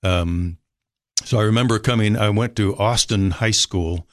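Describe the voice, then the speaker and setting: An older man from Minnesota with a Minnesota accent, telling his life story as a guest in an unhurried, polite way. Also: lowish voice, no room sound, studio quality. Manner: unhurried, polite, frequent fillers. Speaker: rescue mission director, guest. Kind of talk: relaxed storytelling interview.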